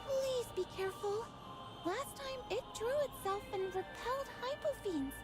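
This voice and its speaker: An actress playing a gentle, loyal young mage attendant in a fantasy game, polite and earnest. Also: female voice, very high-pitched, moderate pace. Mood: earnest concern. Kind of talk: dramatic game voice acting. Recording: game music playing behind.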